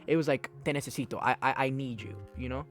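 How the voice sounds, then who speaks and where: A man's voice: medium pitch, fairly even intonation, quick pace, soft background music. A young man from a Dominican and Peruvian background hosting his own podcast, rambling loosely, restarting sentences and swearing often. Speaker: podcast host. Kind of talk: casual solo podcast talk.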